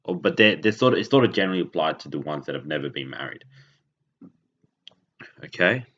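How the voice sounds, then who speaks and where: Very deep-voiced man from Indonesia, halting. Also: animated, fairly bright tone. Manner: rambling, self-correcting, halting. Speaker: podcast host. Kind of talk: casual monologue. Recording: dull lo-fi recording.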